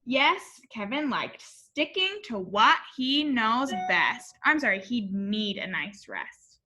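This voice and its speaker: A woman reading a picture book aloud in an animated, expressive way, as if to young children. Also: fairly high pitch, takes it slowly, lo-fi sound. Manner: expressive, addressing young listeners.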